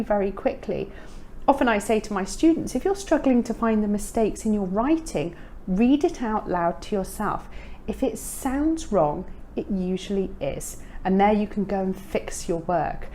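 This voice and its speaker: A woman's voice: medium pitch, lively intonation, moderate pace, warm tone, a little hiss or hum. A British woman in her thirties, an English teacher giving tips to camera, upbeat with emphatic repetition. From United Kingdom. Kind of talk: scripted lesson to camera.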